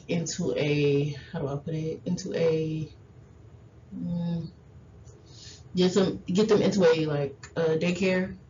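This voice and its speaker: A woman whose first language is Haitian Creole gives parenting advice in a loose, rambling presentation. Her low voice moves slowly, full of ums and restarts. The recording is dull and lo-fi, with a faint hiss underneath. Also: warm tone. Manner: rambling, many fillers and restarts. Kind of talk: informal class presentation.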